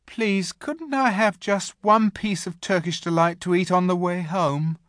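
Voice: mid-range voice, sing-song, measured pace, fairly bright tone, no room sound, clean studio sound, pitched above the performer's own voice. A reader voicing an eager, sulky young schoolboy in a children's storybook, whiny and insistent. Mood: begging.

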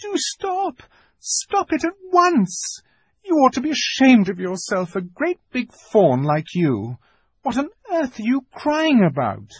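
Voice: medium pitch, wildly swooping pitch, slow pace, slightly rough, dry recording, voice pushed up, heavily acted. A reader doing an earnest, pleading little girl. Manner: pleading, distressed. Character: kind, earnest young girl. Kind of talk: audiobook character voice.

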